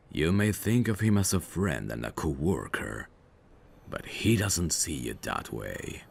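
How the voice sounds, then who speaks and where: A man acting out a dangerous, suave mafia boss for an ASMR roleplay, with an extremely deep voice that creaks with gravel. He takes his time, drawing words out very slowly in a cocky, flirtatious, teasing way. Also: a little hiss or hum, heavily acted. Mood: teasing, possessive. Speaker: voice actor.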